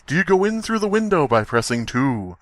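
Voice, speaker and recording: A man voicing an automated haunted house phone hotline announcer, talking in a slow, theatrical, scripted way. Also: on the high side, sing-song, dry recording, voice pushed up. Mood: ominous announcing.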